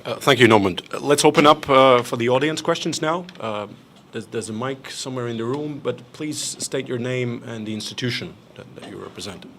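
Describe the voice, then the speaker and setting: A man's voice: medium pitch, a little fast, hardly any pauses, a bit bright, a little hiss or hum. A man moderating a panel discussion, polite, stumbling now and then as he hands over turns.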